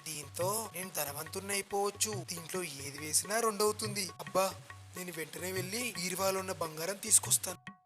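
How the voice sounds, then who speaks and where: A woman playing a greedy, irritable male temple priest in a moral storybook tale, with a gruff, dismissive manner. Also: on the low side, fairly quick, loud music behind the voice, pitched below the performer's own voice, close to an everyday voice. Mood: greedy, scheming. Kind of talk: moral storybook character acting.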